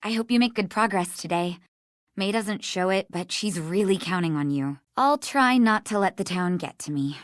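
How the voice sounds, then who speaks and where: A woman's voice: mid-range voice, moderate pace, no echo, studio quality, close to an everyday voice. A woman voicing a caring young woman in a video game, sincere and a little guarded. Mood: warm, hopeful. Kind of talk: scripted video game dialogue.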